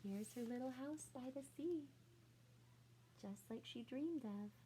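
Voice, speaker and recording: Higher-voiced woman reading a storybook aloud. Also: slow, mellow, slight background noise, low-quality recording. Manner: explanatory, inviting questions to children. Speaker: teacher reading aloud to children. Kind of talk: storybook read-aloud with asides to young listeners.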